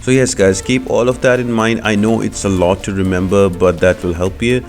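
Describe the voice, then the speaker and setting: A man with a low-pitched voice hosts a gaming walkthrough. He explains things step by step in an instructional way, with faint music playing behind him. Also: fairly even intonation, normal speed. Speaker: gaming video host. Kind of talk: walkthrough commentary.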